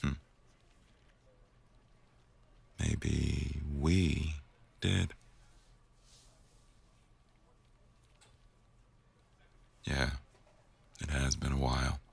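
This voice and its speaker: A man acting an attentive, tender boss in an intimate boyfriend ASMR roleplay, murmuring slowly to an employee in a gravelly voice. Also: heavily acted. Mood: warm, teasing. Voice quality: very deep, gravelly.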